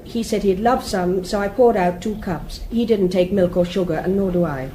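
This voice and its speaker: A woman's voice: medium pitch, normal speed, dark tone, a little hiss or hum, close to an everyday voice, low-quality recording. An actress playing a firm, guarded British woman giving precise testimony as the accused in a radio drama. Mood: controlled. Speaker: defendant testifying in court.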